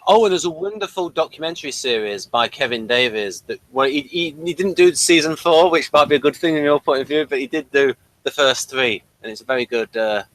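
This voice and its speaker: A man's voice: mid-range voice, animated, a little fast, hardly any pauses, a bit bright, dry recording, low-quality recording. A man co-hosting a casual review chat, agreeable and a little hesitant in his phrasing. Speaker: co-host of a TV episode review show.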